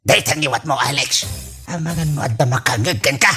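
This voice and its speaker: A man's voice: fairly high pitch, sharp tone, breathy edge, soft background music, heavily acted. A man acting a forgetful, evasive old husband in an Ilocano radio comedy drama, stammering, exclaiming and comically flustered, with an Ilocano accent. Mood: flustered, dodging. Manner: halting, stammering, full of exclamations.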